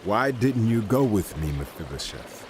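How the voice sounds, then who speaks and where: A man's voice: on the low side, sing-song, moderate pace, background noise. An actor playing a firm yet merciful king, speaking with declamatory authority in a dramatized audio Bible. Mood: firm, gracious.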